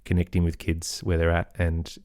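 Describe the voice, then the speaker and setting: A man's voice: low-pitched, completely flat delivery, moderate pace, a little mellow, no room sound, studio quality. A middle-aged man giving thoughtful, earnest answers as an interview guest in a conversational way. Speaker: interview guest, podcaster and musician.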